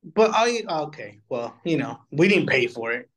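A man's voice: mid-range voice, very animated, normal speed. A man co-hosting a podcast, giving his reactions in loose, casual conversation. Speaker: podcast co-host.

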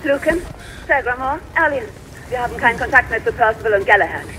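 A woman's voice: fairly high pitch, animated, fast, pushed and bright, a little hiss or hum, poor audio. An actress playing a commanding, anxious but resolute female knight in a German audio drama, urgently calling out.

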